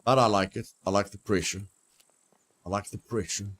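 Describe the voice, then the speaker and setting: A man's voice: lowish voice, steady tone, moderate pace, a bit bright. A man streaming a survival game, giving live commentary, repeating his phrases and yelping with mild exclamations when startled.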